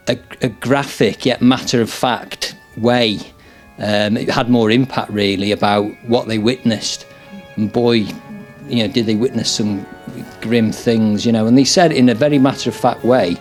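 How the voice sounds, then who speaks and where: A man talking informally as an interviewee. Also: mid-range voice.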